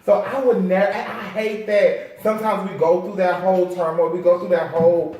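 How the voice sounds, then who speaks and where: A man's voice: high voice, steady tone, a little fast, darkish tone. Man, American accent, encouraging chatty host, sassy slang, heavy room echo, off-mic. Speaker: host interviewing a guest; makeup artist. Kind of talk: casual, chatty interview talk. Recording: very echoey room, far from mic.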